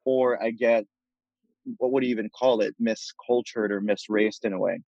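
A man from Puerto Rico speaking as a thoughtful podcast guest, laying out his ideas in articulate, measured phrases. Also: on the low side, fairly even intonation, a little mellow, no room sound, highs cut off. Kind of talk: reflective interview conversation.

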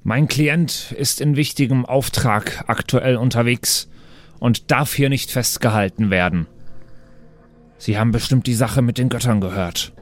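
A man's voice: medium pitch, unhurried, natural voice. A dwarf posing as a lawyer played by a man in an improvised fantasy game. He is formal and insistent, pressing his points in a mid-range voice.

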